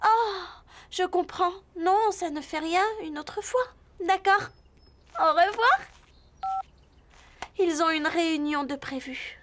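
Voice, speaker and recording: Pampered girl, very high voice. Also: slow, long pauses, a bit bright, a little hiss or hum, exaggerated voice. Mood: let down. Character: pampered, excitable young rich girl rider. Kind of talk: French cartoon dubbing.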